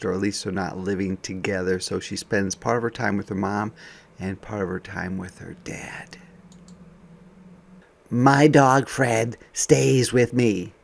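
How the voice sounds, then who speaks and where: A man reading a picture book aloud to young children as a teacher, expressive, with softer conversational asides. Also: fairly low pitch, vocal fry.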